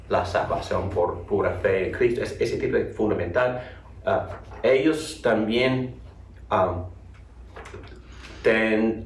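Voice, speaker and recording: Halting theology teacher, echoey classroom. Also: male voice, on the low side, creaky, a little hiss or hum. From North Carolina, USA. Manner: halting, restarts words, repeats phrases. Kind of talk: classroom lecture with opening prayer. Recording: echoey room, voice from far off.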